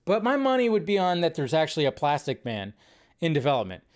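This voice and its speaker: A man's voice: on the high side, huge pitch swings, fast. A man hosting a live stream, chatting casually and thinking aloud as he goes, with frequent restarts. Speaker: host.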